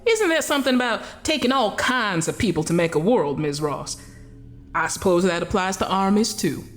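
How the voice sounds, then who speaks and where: Actress doing a coolly polite coroner, insinuating, commanding, lively pitch, faint music behind, old-time radio drama. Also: female voice, moderate pace, lightly acted. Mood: probing. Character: cool, needling female coroner, calmly menacing. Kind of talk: 1940s radio acting.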